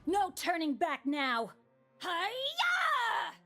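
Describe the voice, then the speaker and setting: A scarred female warrior from a video game, solemn and unhurried. She speaks in short, dramatic lines, burdened by remorse yet resolute. Music plays underneath. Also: very high-pitched, huge pitch swings, sharp tone, full character voice. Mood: determined. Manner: solemn, terse, dramatic delivery.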